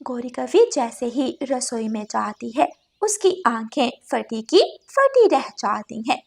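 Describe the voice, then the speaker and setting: Slow-paced female storybook narrator. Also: fairly high pitch, expressive, vocal fry. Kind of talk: scripted children's story narration.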